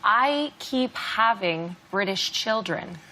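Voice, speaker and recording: A woman comedian on a panel show, joking playfully with quick retorts. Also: medium pitch, expressive, a little hiss or hum, rough recording. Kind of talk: comic panel banter. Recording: loud studio audience laughter.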